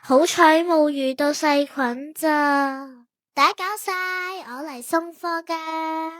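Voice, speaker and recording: A woman's voice: high-pitched, steady tone, takes it slowly, fairly bright tone, dry recording. A woman with a Hong Kong Cantonese accent voicing an earnest, easily flustered young female red blood cell courier in a manga dub. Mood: bright, relieved.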